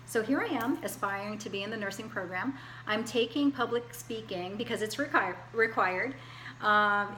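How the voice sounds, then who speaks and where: A woman in her forties introducing herself in a homemade class video, hesitant and full of pauses and restarts. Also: mid-range voice, bright, forceful tone, echoey room, slight background noise, poor audio. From Hawaii, US. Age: 40s. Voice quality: hesitant, many fillers and restarts. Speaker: student.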